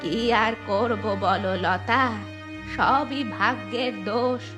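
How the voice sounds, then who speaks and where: A woman voicing an aggrieved, resigned old village grandmother in a cartoon, complaining slowly about her lot. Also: a little high, music in the background. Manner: slow, complaining, fatalistic. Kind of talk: cartoon voice acting.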